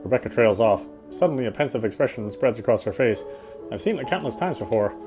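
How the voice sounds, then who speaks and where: A man's voice: mid-range voice, lively intonation, fast. A man reading a visual novel's narration aloud expressively, sometimes stumbling and going back over a phrase. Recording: game music behind the voice.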